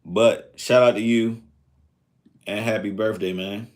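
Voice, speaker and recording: A man's voice: lowish voice, fairly even intonation, a little slow, a little mellow. A man in his thirties chatting casually on a live stream, rambling and reflective, with lots of fillers. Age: 30s.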